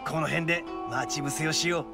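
A man playing a cocky young male oni gang leader in an anime video game, talking roughly and boastfully. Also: fairly high pitch, fairly even intonation, normal speed. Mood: confident. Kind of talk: Japanese anime game voice acting. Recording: clear background music and game sounds.

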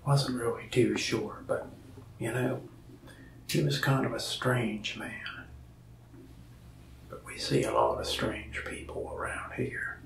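Slow, gravelly whispering young man. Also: mid-range voice, very animated, reverberant, distant mic, noisy background. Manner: whispered, rambling folksy storytelling. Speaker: shop salesman in a roleplay. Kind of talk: soft-spoken ASMR roleplay.

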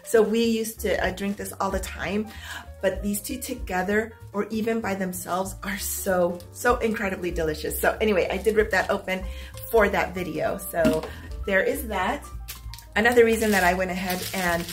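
A middle-aged woman filming a casual unboxing vlog at home, upbeat and chatty, her voice slightly hoarse as if she is losing it. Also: medium pitch, moderate pace, faint music behind. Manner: chatty and upbeat, clears her throat now and then. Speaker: vlogger. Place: small home room with stacked boxes, indoors.

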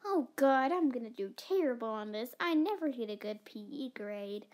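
A woman's voice: a little high, animated, normal speed, a little mellow. A young girl improvising a doll roleplay at home, whiny and dramatic, often dropping to a whisper. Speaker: doll video creator voicing the lead character. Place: carpeted bedroom floor.

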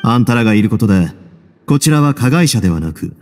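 A man acts out a shrewd, self-possessed warden from an anime game. His mellow, middle-pitched voice lays out his reasoning deliberately and casually. It rings with long reverb over background music. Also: big pitch swings, natural voice. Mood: calm, calculating. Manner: deliberate, self-assured, casual masculine speech. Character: composed, shrewd prison warden, adult man, coolly calculating. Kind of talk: anime game voice acting, measured explanation.

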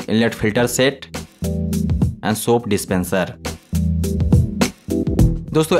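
A man narrating a scripted product review voice-over in a steady, explanatory manner, addressing viewers as friends. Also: medium pitch. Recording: voice-over with loud background music.

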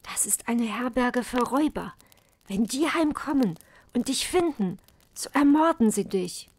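A woman reading earnest enchanted brother, slowly cautioning. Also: fairly high pitch, animated, no echo. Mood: urgent warning. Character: six enchanted swan brothers, grave and warning. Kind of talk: fairy tale storybook reading.

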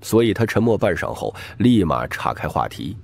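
A man's voice: sits low, sing-song, moderate pace, gravelly, darkish tone. A man reading scripted, dramatic narration as an audiobook narrator.

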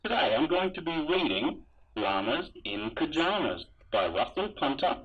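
A man playing a helmeted sci-fi trooper, reading a rhyming children's storybook aloud through a radio helmet filter in a deliberate, sing-song way. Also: a little high, sing-song, a little hiss or hum. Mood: animated storytelling. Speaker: storybook reader. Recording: dull, muffled radio-like sound.

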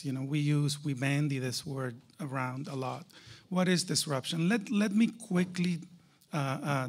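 A man giving a conference keynote to a live audience, emphatic, with rhetorical questions and repeated key phrases. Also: fairly high pitch. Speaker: keynote speaker.

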